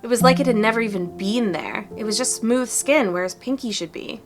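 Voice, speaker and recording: A woman's voice: a little high, a little fast, over music, lightly acted. A woman playing a chatty, upbeat young cryptid hunter who makes videos, with an earnest, folksy way of talking in fan-dubbed game dialogue. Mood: excited, convinced.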